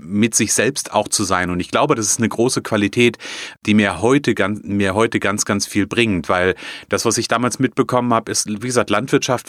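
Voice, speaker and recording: A man's voice: on the low side, fairly bright tone, no room sound, clean studio sound. A man speaking as a podcast guest, talking thoughtfully with frequent restarts and fillers. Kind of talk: casual conversational interview.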